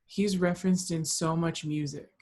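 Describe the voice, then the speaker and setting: A woman's voice: lowish voice, fairly even intonation, moderate pace, a little mellow. A woman taking part in a book discussion, speaking in a casual, reflective way and relaying comments.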